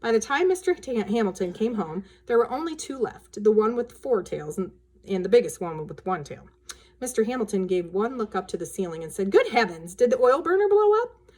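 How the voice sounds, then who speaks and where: Female storyteller for a children's book read-aloud, expressive, changing her voice for dialogue. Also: medium pitch, a little fast.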